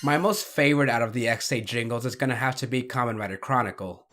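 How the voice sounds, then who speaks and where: A man's voice: medium pitch, fast, very noisy. A man giving casual commentary as the host of a countdown video.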